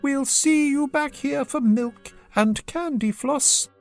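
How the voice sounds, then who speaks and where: A woman's voice: high-pitched, slow pace, a little mellow, faint music behind, pitched above the performer's own voice. A woman voicing a kindly, gracious silver-haired deer creature in a children's storybook, polite and welcoming. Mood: cheery instructing.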